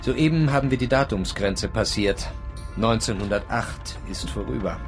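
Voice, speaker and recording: An actor playing a feverish, paranoid writer on an Edwardian sea voyage in a literary, brooding radio drama. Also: male voice, on the low side, normal speed, close to an everyday voice. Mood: brooding, weary. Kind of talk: German radio drama, monologue and dialogue. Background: music.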